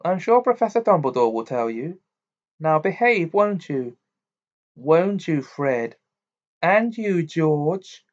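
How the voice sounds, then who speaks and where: A man voicing a kindly middle-aged mother in a storybook reading, coy, warm and fussing. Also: on the high side, big pitch swings, slow pace, highs cut off, voice pushed up. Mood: fond, bossy.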